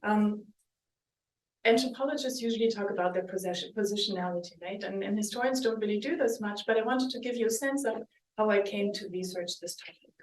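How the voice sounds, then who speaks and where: German woman historian giving a talk, kinda halting, roomy sound, mic a bit far off. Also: medium pitch. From West Germany. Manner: thoughtful, hesitant, with restarts and ums. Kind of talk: academic lecture with slides.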